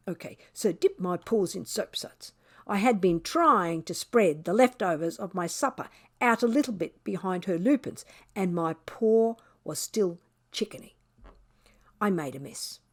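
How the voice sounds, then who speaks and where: A woman's voice: on the high side, fairly bright tone, exaggerated voice. A woman voicing a vain, grumbling pet cat telling its story in a children's book, with dramatic, sardonic emphasis.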